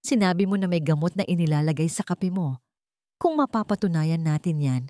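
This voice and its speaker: Woman voicing remorseful, resolute young drama heroine, lively pitch. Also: fairly quick, no echo, studio quality, lightly acted.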